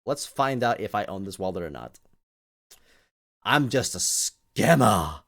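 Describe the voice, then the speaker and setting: A man's voice: on the low side, big pitch swings, a little slow, a bit bright. A man hosting a livestream with casual live commentary, speaking in repetitive, stop-and-start phrases and often addressing his listeners directly. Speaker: livestream host.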